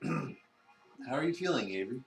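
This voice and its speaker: A man's voice: mid-range voice, very animated, measured pace, vocal fry, faint music behind. A man playing a bossy but caring male cafe leader in a visual novel reading, with a dry manner. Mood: reassuring. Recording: roomy, distant from mic.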